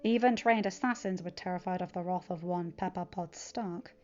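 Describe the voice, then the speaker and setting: A woman narrating a fan-fiction story as an expressive audiobook-style reading. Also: fairly low pitch, normal speed, dull audio. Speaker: podfic narrator. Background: background music.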